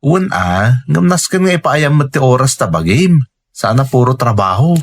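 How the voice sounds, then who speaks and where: A man's voice: mid-range voice, sing-song, normal speed. A Filipino man playing a caring, teasing friend and fellow overseas worker in a radio drama. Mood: concerned.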